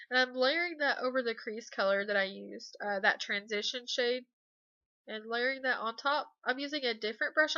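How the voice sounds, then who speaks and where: A woman's voice: a little high, dry recording, highs cut off. A young woman with a stuffy cold voice, chatting casually and a bit hesitantly through a makeup tutorial voice-over. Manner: relaxed, hesitant, many ums and uhs. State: congested from a cold. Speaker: beauty vlogger.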